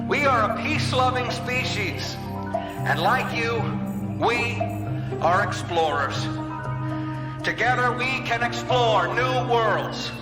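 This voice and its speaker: An actor playing a grandiose senator giving an oratorical radio drama speech to the whole world. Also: male voice, high voice, reverberant, big-room sound. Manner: pompous, oratorical, deliberate pauses.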